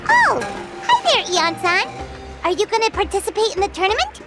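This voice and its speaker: A woman voicing a tiny, excitable floating fairy companion who talks about herself in the third person, in game voice acting over loud music. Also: extremely high pitch, fairly quick, full character voice. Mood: eager.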